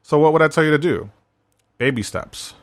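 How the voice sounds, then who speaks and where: A man's voice: fairly high pitch, expressive, normal speed, no echo. A man hosting a self-help podcast, talking in a laid-back, conversational way and often checking in with "right?". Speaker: podcast host.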